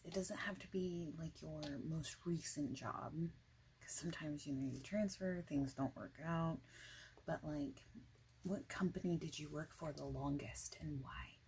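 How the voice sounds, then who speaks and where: A woman playing a friendly, informal boss in an ASMR interview roleplay, speaking softly and haltingly with whispered phrases. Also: sits low, a little hiss or hum, rough recording. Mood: curious, chatty. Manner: halting, casual, soft-spoken, with breathy whispered phrases.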